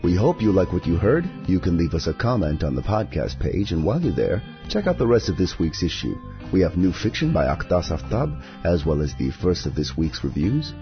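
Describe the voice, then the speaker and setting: Man, scripted poetry narration, husky, measured delivery, widely varied intonation, slightly muffled recording with music. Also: low voice, big pitch swings. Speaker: podcast host and poetry reader.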